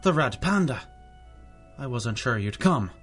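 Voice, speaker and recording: An actor playing an earnest, compassionate parish priest in a radio drama. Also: male voice, medium pitch, sing-song, a little slow, a bit bright, husky, faint music behind, exaggerated voice. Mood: grateful, cautious. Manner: earnest, concerned, storytelling.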